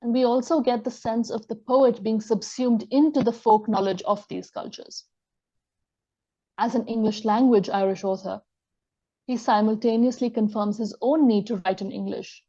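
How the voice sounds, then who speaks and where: Woman, lecturer, measured academic reading, mellow tone, slightly muffled recording. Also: a little high, fairly even intonation. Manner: measured, reads quotations aloud.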